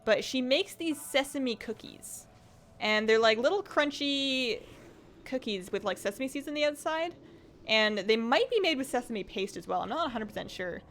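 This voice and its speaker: A woman's voice: fairly high pitch, expressive, normal speed, a bit bright, slight background noise. A woman game streamer chatting casually and rambling as she plays, her voice a little strained from talking. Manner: chatty, rambling, reads viewer comments aloud. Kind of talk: casual livestream chat while gaming.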